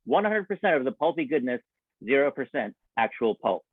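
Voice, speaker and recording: A man's voice: medium pitch, big pitch swings, no room sound. Dull-sounding, dry theatrical male radio announcer. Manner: theatrical, deliberate announcer delivery. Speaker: announcer and narrator. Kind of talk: scripted old-time radio announcing. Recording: dull, band-limited radio broadcast sound.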